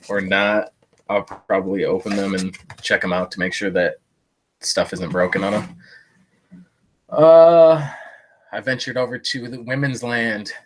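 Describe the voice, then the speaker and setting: A man's voice: on the low side, slight background noise. A man with a stuffy, congested voice chatting casually on a live stream about thrift finds, rambling with lots of fillers and self-deprecating jokes. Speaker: thrift reseller streaming a haul. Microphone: live-stream setup.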